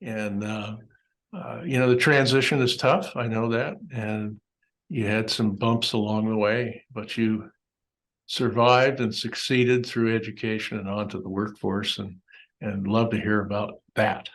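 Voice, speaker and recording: Rambling, halting male podcast host. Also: lowish voice, steady tone, fairly bright tone. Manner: rambling, halting, many fillers. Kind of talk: casual interview. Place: home office.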